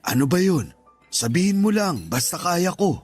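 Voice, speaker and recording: A man's voice: high voice, expressive, normal speed, darkish tone, breathy edge. A man playing a tender middle-aged stepfather in a radio drama, earnest and reassuring, with a Filipino accent. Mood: pleased. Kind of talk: radio drama acting in Tagalog. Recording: music bed behind the voice.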